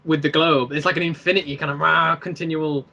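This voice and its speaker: A man's voice: on the high side, little pitch movement, brisk, bright, forceful tone, dull audio. A man speaking as a guest in casual, rambling conversation. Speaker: interview guest, series creator.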